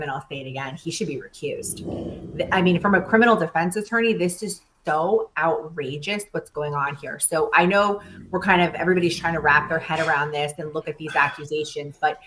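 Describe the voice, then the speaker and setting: A woman's voice: sits low, fairly quick, fairly bright tone, a little hiss or hum, rough recording. A woman giving opinionated, emphatic legal commentary as a defense attorney on a panel.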